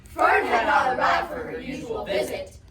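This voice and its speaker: A woman's voice: high voice, sing-song, moderate pace. A young female narrator giving a theatrical, scripted storybook reading in a projected, emphatic delivery. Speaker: narrator in student reader's theatre. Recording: echoey hall, crowd noise, distant mic.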